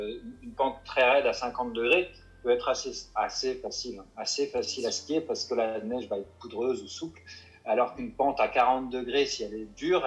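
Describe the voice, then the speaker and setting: A mountain guide answering interview questions, hesitant and full of restarts and fillers. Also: male voice, medium pitch, highs cut off. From Paris suburbs.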